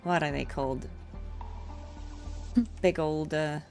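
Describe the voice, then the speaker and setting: Chatty woman streamer with a creaky, lowish voice. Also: fairly even intonation, slow pace. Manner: chatty, thinking aloud. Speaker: streamer, voice actress. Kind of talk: casual live-stream game commentary. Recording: game music behind the voice. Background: video game music.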